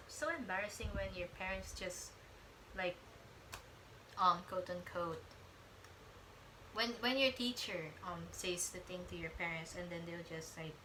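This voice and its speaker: A woman's voice: lowish voice, noisy background. A young woman vlogging as she reads online stories aloud, rambling and stumbling over words with chatty asides. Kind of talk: casual chat mixed with reading aloud.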